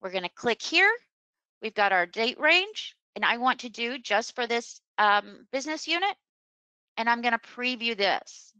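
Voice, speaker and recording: Bright-voiced woman giving a software walkthrough. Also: on the high side, animated, a little slow, no echo, poor audio. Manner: instructional, step-by-step. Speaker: software trainer presenting a webinar. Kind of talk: screen-share software demonstration, unscripted explaining.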